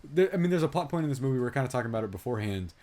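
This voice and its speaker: A man's voice: medium pitch, expressive, racing, dark tone. A man co-hosting a film review podcast in a casual, slangy chat, telling things animatedly and quoting reactions in asides.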